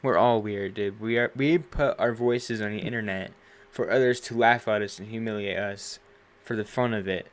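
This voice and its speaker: Young guy, lowish voice, chill and laughs loud a lot, talking into his streaming mic. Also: fairly even intonation, normal speed. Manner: easygoing, laughs loudly and often. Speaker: podcast co-host. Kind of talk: casual chat. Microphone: home streaming microphone.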